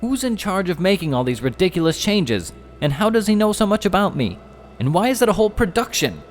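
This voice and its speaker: A man's voice: medium pitch, big pitch swings, moderate pace, darkish tone, soft background music, pitched above the performer's own voice. A man voicing Jeff, a bewildered, exasperated detective in a storybook reading. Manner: baffled, indignant.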